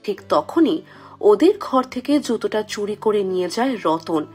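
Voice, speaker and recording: A woman's voice: mid-range voice, lively intonation, measured pace, darkish tone, husky, faint music behind. A woman storyteller giving scripted fairy-tale narration for a cartoon.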